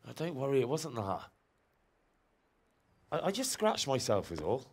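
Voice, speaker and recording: An actor playing an evasive young village lad in a medieval video game, brushing off worry. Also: male voice, medium pitch, wildly swooping pitch, moderate pace, breathy edge, full character voice. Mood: sheepish. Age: young adult. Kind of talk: naturalistic video game acting.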